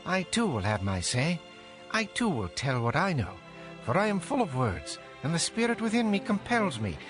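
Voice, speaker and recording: A man's voice: fairly high pitch, huge pitch swings, moderate pace. An actor playing an angry, impassioned young man lecturing his elders emphatically in a dramatized audio Bible. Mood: impatient, bursting. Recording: music behind.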